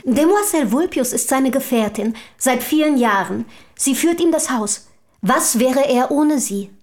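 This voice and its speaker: A woman's voice: high-pitched, animated, unhurried, a little mellow. An actress in a German radio play voicing an eager, self-assured young woman of a rich family, speaking formally and articulately. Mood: polite, insistent.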